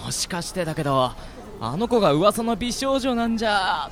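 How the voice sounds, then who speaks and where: A man playing an upbeat, excitable high school boy in an amateur radio drama, talking in playful, casual slang. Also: normal speed. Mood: excited, curious.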